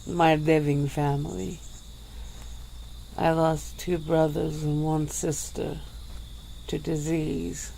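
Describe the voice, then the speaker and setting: A woman voicing a centuries-old female vampire who speaks slowly and softly, with breathy little laughs, in an ASMR roleplay. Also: low voice, flat delivery, slight background noise, exaggerated voice, poor audio. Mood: teasing, languid. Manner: slow, soft-spoken, with breathy little laughs.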